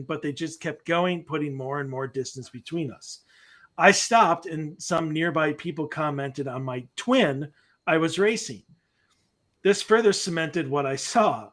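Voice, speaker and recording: A man's voice: a little high, fairly bright tone. A man co-hosting a casual paranormal podcast from home over a video call. He is playful, laughs easily, and reads listener stories aloud. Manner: playful, quick to laugh, drifts into a sing-song voice. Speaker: podcast co-host. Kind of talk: casual chat, reading a listener story aloud. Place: room at home. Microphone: webcam call from home.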